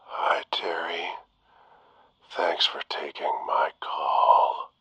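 A sinister prank caller hisses down the line in a breathy, gravelly whisper. The voice sits extremely low and crawls along at a dead-slow pace. Bright edge, dry close sound. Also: little pitch movement, no room sound, highs cut off, heavily acted. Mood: menacing. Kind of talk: audio drama acting.

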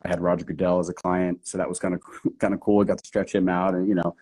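A man from New Hampshire, a guest strength coach telling his background in a relaxed, easygoing way. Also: low-pitched, quick pace, breathy edge. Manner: relaxed storytelling, easy laughs. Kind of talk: casual interview answers.

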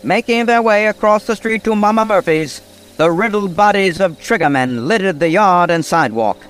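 Grim, high-pitched radio narrator. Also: male voice, animated, normal speed, a bit bright, clear, resonant tone. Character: grim male noir storyteller, dramatic and ominous. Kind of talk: scripted old-time radio narration.

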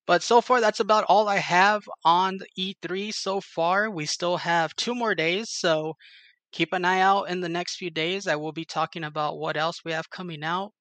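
A man's voice: high voice, fairly even intonation, normal speed, a bit bright, no echo. A man hosting a show in an enthusiastic, informal way, speaking casually like someone sharing news with fans. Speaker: show host. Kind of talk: casual gaming news recap and interview.

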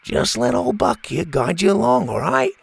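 Excitable dinosaur expert, lecturing, raised pitch, gravelly edge, as a storyteller puts him on. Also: male voice, moderate pace, no echo, full character voice. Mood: reassuring. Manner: enthusiastic, lecturing.

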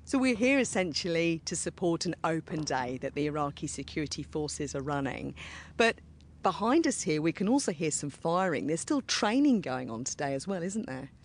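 A presenter introducing a military program and interviewing a soldier outdoors, speaking in a clear, measured way. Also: medium pitch, big pitch swings, a little hiss or hum.

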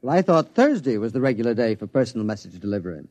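An actor playing an earnest, resourceful observatory scientist, polite and articulate, in old-time radio drama style. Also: male voice, mid-range voice, sing-song, fast, dark tone, no room sound. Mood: dry.

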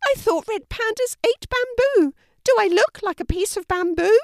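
A woman's voice: very high-pitched, expressive, normal speed, no room sound, studio quality, voice pushed up. A woman voicing an indignant, chatty little male golden fish with a brave front in a children's storybook. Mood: defiant.

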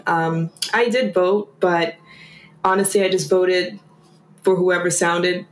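A woman's voice: on the low side, fairly even intonation, slow pace, echoey room, low-quality recording. A woman from Germany, a guest in a casual conversation, who talks in a chatty, rambling way full of fillers.

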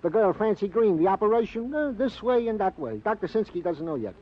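High-voiced, rambling comic police sidekick. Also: male voice, sing-song, brisk. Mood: mock-official. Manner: rambling, mock-formal, hesitant, mangles phrases. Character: comic, chatty, long-winded male police sergeant sidekick. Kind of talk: 1950s radio comic-relief acting. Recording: dull old radio recording.

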